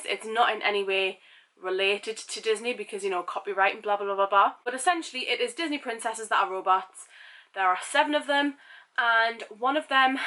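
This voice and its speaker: A woman's voice: on the high side, bright, forceful tone. A young woman chatting casually about books she has read lately, sounding nasal and sniffly from a cold, rambling with self-mocking asides. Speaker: book vlogger. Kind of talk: casual book wrap-up chat.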